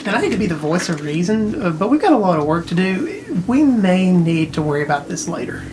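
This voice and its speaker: A man's voice: high voice, very animated, brisk, darkish tone, a little hiss or hum. A man playing an informal, practical studio partner in a mystery audio drama. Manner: informal, buddy-like. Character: jittery, practical male studio partner, voice of reason. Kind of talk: naturalistic audio drama acting.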